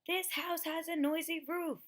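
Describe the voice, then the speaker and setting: A woman's voice: extremely high pitch, fairly even intonation, a little slow, a bit bright, voice pushed up. A woman voicing a sleepy, easily exasperated male owl from a children's storybook, with theatrical emphasis. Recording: noticeable background noise.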